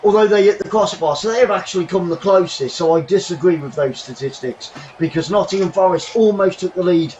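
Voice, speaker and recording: A man hosting a live football watchalong from his bedroom, commentating the match and thanking viewers, getting excitable and shouting when chances come. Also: high voice, fairly quick, few pauses, dull audio, slight background noise. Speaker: watchalong host. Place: home bedroom.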